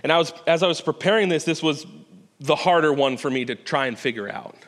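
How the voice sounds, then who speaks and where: A man's voice: fairly high pitch, expressive, a little fast. A man preaching a sermon as pastor, earnest and conversational, often restarting phrases and checking in with "right?". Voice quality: loud voice. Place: church sanctuary. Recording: hall reverb.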